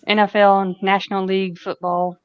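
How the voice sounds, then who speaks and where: A woman's voice: medium pitch, flat delivery, fairly quick, highs cut off, a loud crowd. A woman from Nashville with a Southern accent, chatting casually in a friendly way. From Nashville, Tennessee. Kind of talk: casual chat.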